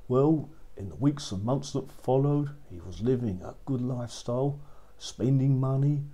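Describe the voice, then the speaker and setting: A man's voice: mid-range voice, moderate pace, dark tone. A man narrating a fairy tale for children as a storyteller, repeating phrases for effect.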